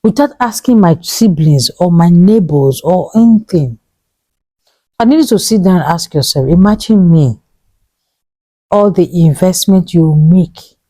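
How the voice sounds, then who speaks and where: A woman in her thirties with a Nigerian English accent, earnestly pleading with viewers and repeating her points, dropping to a low hush for emphasis. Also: breathy edge, no echo, poor audio. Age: 30s. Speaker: vlogger giving money advice. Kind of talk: earnest motivational talk to camera.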